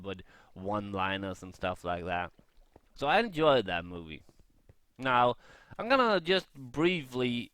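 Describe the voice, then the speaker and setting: A man with a fairly low, clean voice talks casually as a gaming vlogger. He rambles through his review without a script, often halting to think aloud. The sound is close and dry, with very little room. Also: pushed and bright, smooth, no echo.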